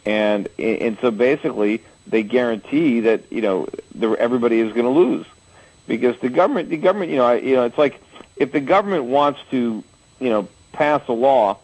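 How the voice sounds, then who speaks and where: Husky middle-aged male radio host. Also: mid-range voice, a little fast, darkish tone, low-quality recording. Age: 40s. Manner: explains with homely analogies, frequent restarts. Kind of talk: call-in radio talk.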